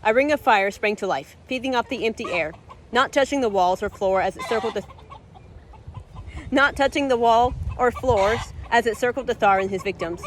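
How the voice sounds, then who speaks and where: A woman reading her own fantasy story aloud as dramatic narration, expressive, sometimes stumbling and repeating a phrase. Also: on the high side, moderate pace, a little hiss or hum.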